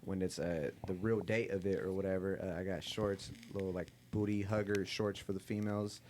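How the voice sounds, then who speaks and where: Deep-voiced man, flat casual delivery. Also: brisk, a little hiss or hum. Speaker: podcast co-host. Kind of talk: casual promotional chat with hesitations.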